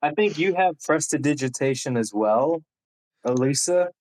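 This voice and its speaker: A man's voice: fairly high pitch, animated, moderate pace, darkish tone. A man taking part in a tabletop roleplaying game as a player, chatting in casual banter.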